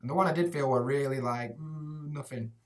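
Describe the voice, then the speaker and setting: Laid-back sweary Yorkshire lad. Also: male voice, medium pitch, fairly quick, recorded from a distance. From England, Rotherham area. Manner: casual, sweary, laid-back banter with lots of "like" and "mate". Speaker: YouTuber living in Japan. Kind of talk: live stream Q&A reading chat. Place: small room indoors with shelves behind.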